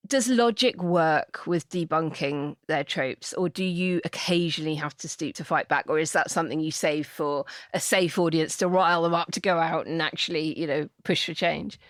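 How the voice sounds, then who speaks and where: A woman's voice: low-pitched, normal speed, hardly any pauses, no echo, studio quality. A woman hosting a podcast, interviewing in a chatty, encouraging, conversational style. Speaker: podcast host.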